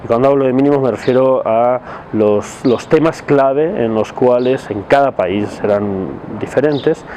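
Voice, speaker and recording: A man giving his opinion in a recorded message, speaking haltingly and deliberately as he searches for words. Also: medium pitch, mellow, slight background noise. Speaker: civil society commentator in a video message. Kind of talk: spoken opinion to camera.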